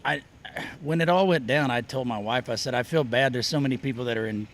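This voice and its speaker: Middle-aged musician telling stories. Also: male voice, medium pitch, fast, fairly bright tone. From Oklahoma and Texas. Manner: rambling, self-interrupting storyteller. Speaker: musician guest. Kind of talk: casual interview chat. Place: outdoor festival.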